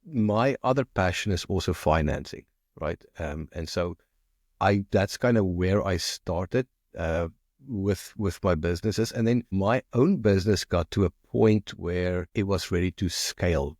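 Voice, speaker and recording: Deep-voiced South African man, hesitant. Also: measured pace, darkish tone, dry recording, studio quality. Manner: repeats and restarts words often, thinking aloud. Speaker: marketing agency founder, guest. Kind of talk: casual interview talk.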